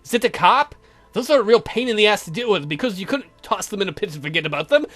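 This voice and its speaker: A man's voice: high-pitched, lively intonation, fast talker, a bit bright, no room sound, faint music behind. A man voicing an irritable, sardonic, foul-mouthed vampire count in a visual novel. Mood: sardonic, commanding. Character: irritable, sardonic male vampire count, protective head of family.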